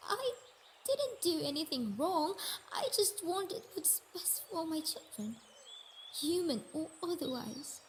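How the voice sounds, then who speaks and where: A woman's voice: very high voice, sing-song, takes it slowly, a little hiss or hum, heavily acted. A woman voicing a sickly, motherly Earth goddess in an intimate roleplay monologue, hesitant and emotional, dipping toward whispers. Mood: wounded anger. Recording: nature ambience with birdsong behind voice.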